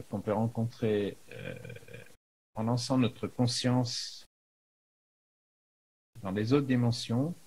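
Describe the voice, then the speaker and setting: A man giving an unscripted spiritual talk as a teacher, in a halting manner with frequent pauses in the middle of phrases. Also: on the low side, vocal fry, a little mellow, husky. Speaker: spiritual teacher, video maker.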